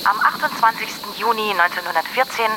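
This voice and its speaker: Actor as mid-pitched chronicler narrating a radio play, lots of street noise. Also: brisk, close to an everyday voice. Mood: matter-of-fact. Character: chronicler of wartime deaths. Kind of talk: scripted dramatic narration.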